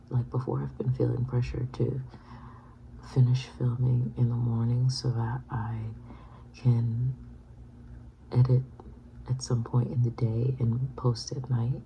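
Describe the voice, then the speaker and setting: Woman in her 30s whispering slow and low, gravelly, noisy background hiss. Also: highs cut off. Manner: whispering softly, halting and reflective. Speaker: vlogger. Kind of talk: whispered personal vlog.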